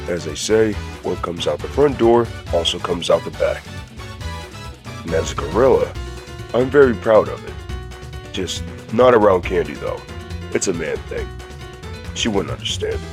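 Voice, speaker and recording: A man's voice: low voice, lively intonation, unhurried, pauses often, faint music behind. A man voicing a laid-back, proud male cartoon gorilla in a fan audio drama, easygoing and matter-of-fact. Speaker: co-host of a fan question show.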